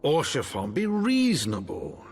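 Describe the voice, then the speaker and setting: A man's voice: medium pitch, wildly swooping pitch, unhurried, vocal fry, soft background music, heavily acted. A man playing a stern, disapproving elder lord in a video game, speaking in a formal, admonishing way. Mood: warning. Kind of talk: dramatic video game acting.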